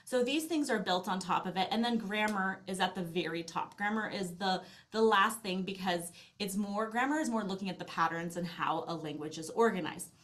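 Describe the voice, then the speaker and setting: A woman's voice: medium pitch, normal speed, hardly any pauses, fairly bright tone. A middle-aged woman speaking as a podcast interview guest, explaining in an earnest, conversational way and often restarting her phrases. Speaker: interview guest, language learning company founder.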